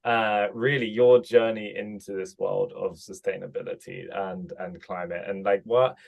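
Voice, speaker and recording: A man's voice: lowish voice, level tone, moderate pace, vocal fry. A man hosting a podcast, speaking in a friendly, hesitant way with many restarts. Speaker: podcast host. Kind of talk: podcast hosting, casual interview.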